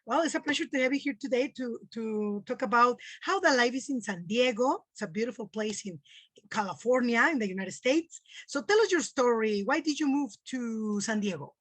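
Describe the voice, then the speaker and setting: Woman, podcast host, friendly, hesitant, higher voice, dry close sound. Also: animated, normal speed, fairly bright tone, slightly rough, no echo. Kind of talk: interview hosting.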